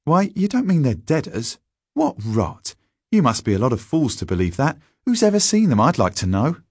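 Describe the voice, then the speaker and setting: A man's voice: mid-range voice, very animated, a little fast, a little mellow, no echo, studio quality, pitched above the performer's own voice. A man voicing a rude, headstrong British schoolboy Scout in a storybook, brash, scoffing and impatient. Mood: scornful.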